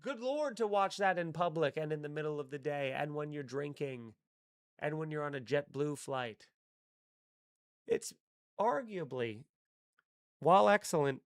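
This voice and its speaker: A man's voice: a little high, dry recording. Profane rambling man, dry sound. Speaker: comedian hosting a live stream. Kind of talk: casual live-stream chat reading chat comments.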